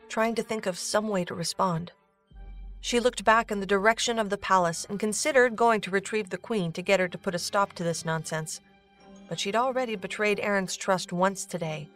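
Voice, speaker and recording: A woman narrator reading a scripted story for an audio drama. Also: medium pitch. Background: music.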